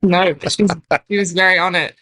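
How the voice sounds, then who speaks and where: A woman's voice: fairly low pitch, little pitch movement, a little fast, sharp tone. Teenage girl, from Hungary, chatty guest, filler-heavy casual talk. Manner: chatty, many "like" fillers, laughs often. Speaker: young basketball player, guest. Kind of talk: casual interview chat.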